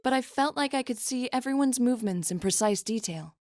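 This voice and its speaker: A woman's voice: on the high side, animated, fairly quick, darkish tone, dry recording, clean studio sound, close to an everyday voice. A woman playing a composed, bold young warrior in a video game, with formal wording. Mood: thoughtful. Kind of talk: English video game voice acting.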